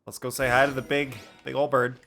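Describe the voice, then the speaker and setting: A man's voice: mid-range voice, flat delivery, a little fast, vocal fry, a bit bright, faint music behind. A man giving casual, playful live commentary as a game streamer, thinking aloud in a rambling way.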